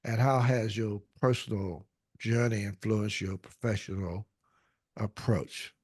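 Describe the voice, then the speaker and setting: A man hosting a podcast interview, talking slowly with many fillers and restarts. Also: on the low side, fairly even intonation, a little mellow, breathy edge, no echo, clean studio sound. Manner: slow, hesitant, many fillers. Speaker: podcast host.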